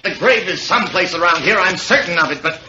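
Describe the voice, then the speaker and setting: A dull, hissy vintage radio recording carries a scheming, domineering blackmailer. His voice is on the high side and very animated, with a forceful edge. He plays it theatrically, controlling yet evasive, in 1940s mystery style. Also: fast. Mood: rattled, defensive.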